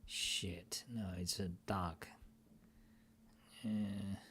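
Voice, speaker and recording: Middle-aged male host, deep and unhurried, rambling, profane, grumbling. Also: level tone, a bit bright, a little hiss or hum. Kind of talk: casual screen-share talk show. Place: home desk, indoors.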